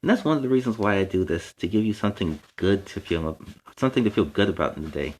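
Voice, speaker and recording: A man's voice: on the low side, animated, quick pace, darkish tone, slight background noise. A man hosting a children's story time, reading aloud with playful, explanatory asides in a superhero persona. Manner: explains words and lessons to young listeners.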